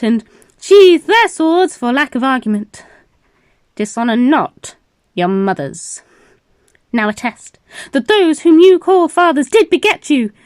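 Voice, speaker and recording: Woman playing a high-pitched, fierce goblin war leader. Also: no echo. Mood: rousing. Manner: declamatory, rousing, grandiose. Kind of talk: theatrical Shakespearean battle speech monologue.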